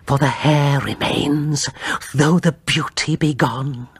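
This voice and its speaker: A man voicing a bitter, disfigured ghostly woman in a horror story, with a slow, breathy, menacing hiss. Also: a little high, steady tone, no room sound, clean studio sound, heavily acted. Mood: bitter hatred. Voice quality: breathy, whispery.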